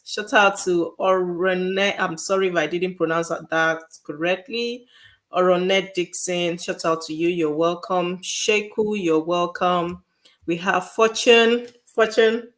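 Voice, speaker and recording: Woman cohost on a livestream, talks really slow, lowish voice, agreeable. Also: steady tone, fairly bright tone. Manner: slow, agreeable, greets viewers. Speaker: co-host of a live session. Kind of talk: live-streamed conversation.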